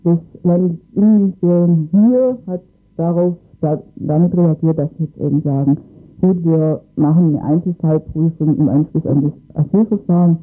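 A man's voice: fairly high pitch, phone-quality sound. A man explaining matters in a radio interview, hesitantly searching for his words. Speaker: interviewed expert on asylum cases.

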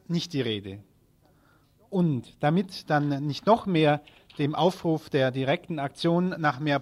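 Male news presenter, fairly high voice, scripted reading, occasional self-corrections.

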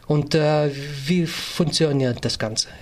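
Guy hosting morning radio, voice a bit on the high side, kinda halting, searches for words. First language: not German. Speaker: radio host interviewer. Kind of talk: live morning radio interview. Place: radio studio.

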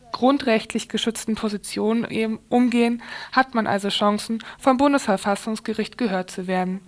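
A woman presenting a scripted radio news report, sometimes stumbling and rereading phrases. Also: medium pitch.

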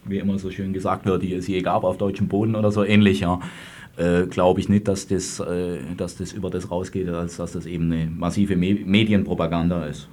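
A man speaking as a lawyer in a radio panel discussion, deliberating haltingly as he goes. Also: low voice, flat delivery, a little mellow. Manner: halting, deliberating with hesitant pauses.

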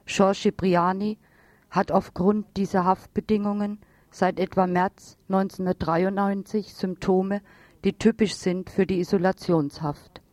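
A woman's voice: mid-range voice, flat delivery, unhurried, darkish tone, no echo. A woman reading a scripted news report in a serious, formal way. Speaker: radio news presenter.

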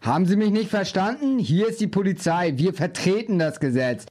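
A man's voice: high-pitched, lively intonation, normal speed, a little mellow. A man playing a stern, demanding police officer in an amateur radio play, curt and commanding. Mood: stern, insistent.

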